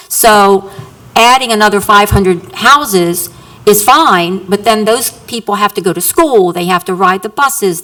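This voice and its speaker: A woman's voice: mid-range voice, fairly bright tone. A woman landlord giving an earnest, emphatic public comment at a city council work session, repeating her points. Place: council chamber.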